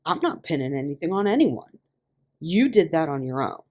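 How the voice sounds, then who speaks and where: A woman voicing a gruff, irritable male prison inmate in an audio story, curt, mumbling and slangy. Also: low-pitched, very animated, moderate pace, mellow. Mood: firm, defensive.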